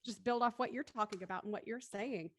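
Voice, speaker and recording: A woman's voice: fairly high pitch, fast, no echo. A woman hosting a casual online after-show, talking informally and addressing guests and audience as host.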